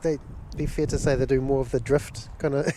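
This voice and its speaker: A man's voice: mid-range voice, fairly even intonation, brisk, dark tone. Male livestream host, New Zealand accent, enthusiastic casual commentary, chuckly, outdoor vehicle noise. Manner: enthusiastic, chuckly, reads out viewer messages. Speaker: livestream host and plane spotter. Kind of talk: casual live plane-spotting commentary and chat. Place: outdoors near a runway and seafront.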